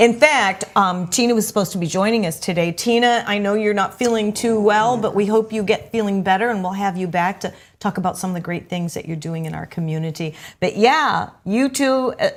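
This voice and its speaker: A woman's voice: medium pitch, fairly quick, few pauses. A middle-aged woman hosting a local television talk show, chatting with guests in a studio and gushing with praise. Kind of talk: casual studio interview chat.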